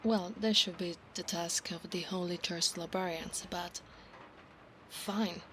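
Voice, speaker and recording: A woman with an Italian accent playing a proud, obsessive female centaur knight in a soft-spoken, formal ASMR roleplay, often dropping into breathy whispers. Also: lowish voice, fairly even intonation, slow pace. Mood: calm, proud. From Italy. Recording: clear background noise, ambience.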